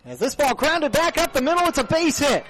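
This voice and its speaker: A man's voice: very high-pitched, big pitch swings, a little fast, a bit bright. A man doing live radio play-by-play commentary as a baseball announcer.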